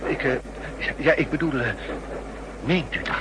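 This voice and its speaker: A man's voice: a little high, normal speed, lightly acted. An actor playing a nervous, evasive male travelling salesman who stammers and hesitates, in a 1960s Dutch radio drama. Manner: hesitant, stammering, flustered, fragmented speech. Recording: noisy old 1960s radio drama recording.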